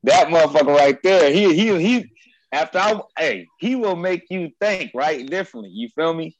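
A man from the United States hosting a casual chat, excitable and slangy, often repeating himself. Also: a little high, normal speed, no room sound, muffled recording. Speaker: podcast host. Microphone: headphones with mic on a video call.

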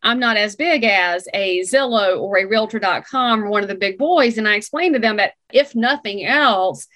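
A woman hosting an interview podcast, talking in an animated, opinionated way. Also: mid-range voice, moderate pace. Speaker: podcast host.

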